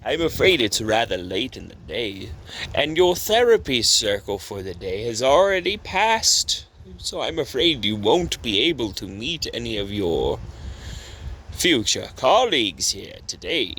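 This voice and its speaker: A man voicing a smug, menacing doctor in an amateur audio horror story, with drawn-out, theatrical, mock-polite delivery. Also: medium pitch, sing-song, fairly bright tone, slight background noise. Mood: falsely apologetic.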